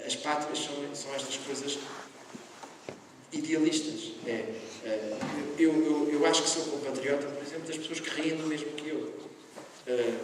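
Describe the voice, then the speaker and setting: A man from Lisbon on a panel, talking earnestly to students and repeating phrases for emphasis. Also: mid-range voice. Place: school hall. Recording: echoey hall from far off, noisy.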